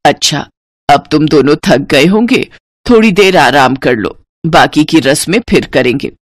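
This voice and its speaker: Doting older mother-in-law played by a woman, lowered pitch, motherly, affectionate, cartoon story. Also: no room sound, studio quality. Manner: affectionate, unhurried motherly talk. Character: kindly, doting older mother-in-law welcoming her family.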